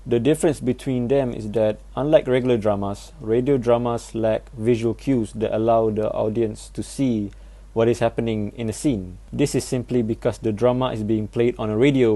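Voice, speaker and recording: A man's voice: mid-range voice, warm tone. A young man giving a scripted, explanatory academic presentation as a student. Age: young adult.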